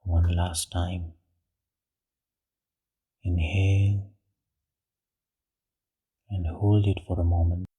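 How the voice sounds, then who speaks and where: A man leading a guided meditation in a hushed, breathy voice, with short phrases and long pauses. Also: sits low, little pitch movement.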